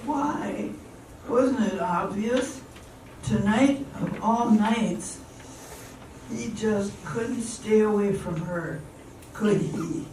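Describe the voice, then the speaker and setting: Woman acting slow-spoken radio-drama sweetheart. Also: mid-range voice, darkish tone. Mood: distressed. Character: Hannah, lovelorn young woman turned playfully bossy sweetheart. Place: live amateur performance in a hall. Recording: roomy, distant stage mic with faint noise.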